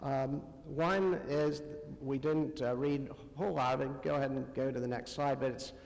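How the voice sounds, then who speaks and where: A man preaching in a conversational style, with a halting delivery that pauses often between short phrases. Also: mid-range voice, fairly even intonation, creaky, darkish tone. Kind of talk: conversational sermon. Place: church sanctuary, amplified to a congregation. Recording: long hall reverb.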